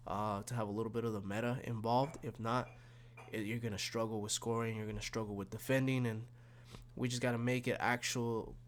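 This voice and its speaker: A man with a slightly raspy, snuffly voice from being sick, casually walking through football game tactics with lots of fillers and restarts. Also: on the low side, slight background noise. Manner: rambling, many fillers and restarts. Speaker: gaming YouTuber.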